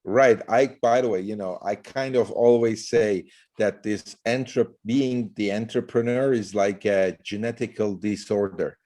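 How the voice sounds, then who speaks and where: A middle-aged man from Turkey talks as a guest, his voice sitting fairly low. He rambles loosely, with lots of "you know" and "like" between thoughts. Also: measured pace, few pauses, darkish tone. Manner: loose, rambling with many fillers. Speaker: guest, startup CEO. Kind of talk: casual interview talk.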